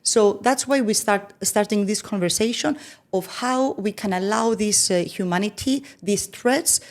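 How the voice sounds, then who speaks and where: A woman's voice: mid-range voice, moderate pace. Woman explaining patiently, checking in often. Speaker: podcast guest. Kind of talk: explanatory conversation.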